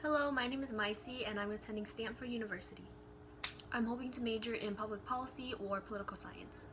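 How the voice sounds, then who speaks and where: A woman's voice: fairly high pitch, fairly even intonation, fast talker. A young woman student giving a candid, casual account, with frequent filler words. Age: young adult. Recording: noticeable background noise.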